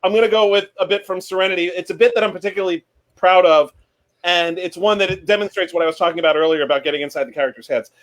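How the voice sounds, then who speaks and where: A man speaking as a panelist, chatting casually and reading book excerpts aloud in a measured, storytelling way. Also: high voice. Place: remote online panel from home.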